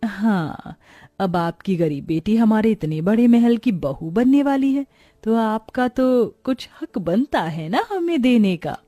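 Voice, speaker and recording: A woman's voice: a little high, expressive, slow pace, dark tone, no echo, faint music behind. A woman voicing a haughty, greedy rich mother-in-law in a cartoon story, imperious and dismissive.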